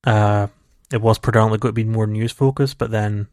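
A man's voice: fairly low pitch, fairly even intonation, a little fast, a little mellow, clean tone, no room sound, studio quality. A man co-hosting a casual gaming podcast, chatty and rambling, laughing easily. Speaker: podcast co-host. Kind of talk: casual chat.

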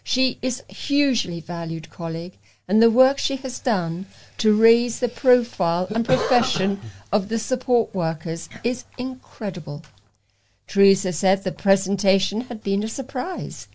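A woman's voice: medium pitch, animated, a little slow, darkish tone, slight background noise. A woman reading local news aloud as a volunteer reader, carefully, with occasional stumbles over words. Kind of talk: read-aloud local news for a talking newspaper.

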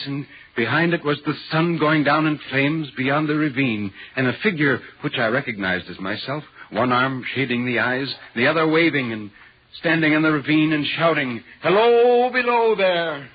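An actor playing a troubled but rational gentleman in a measured, theatrical 1940s radio horror drama. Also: mid-range voice, husky. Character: troubled, rational gentleman visitor narrating a ghost story. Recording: dull, muffled 1940s radio recording.

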